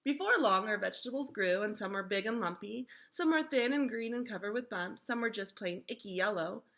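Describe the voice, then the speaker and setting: A woman reading a children's storybook aloud in an expressive, instructive way, like a teacher engaging young listeners. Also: medium pitch, a little fast, gravelly.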